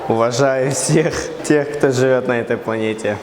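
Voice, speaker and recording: A man's voice: medium pitch, normal speed. Plain-spoken man in a noisy, reverberant street. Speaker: street interviewee. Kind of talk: brief vox-pop answer.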